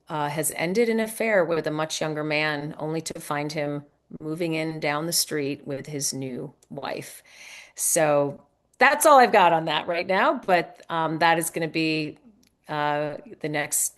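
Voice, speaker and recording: A woman with a low voice talks casually as an author being interviewed. Her delivery is conversational and a little hesitant, as she works out her thoughts while speaking. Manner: conversational, hesitant, thinking aloud.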